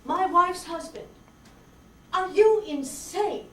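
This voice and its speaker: A woman's voice: extremely high pitch, slow pace, fairly bright tone, heavily acted. A woman playing an indignant, sceptical married male lawyer in an amateur radio detective play. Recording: distant roomy recording, faint noise.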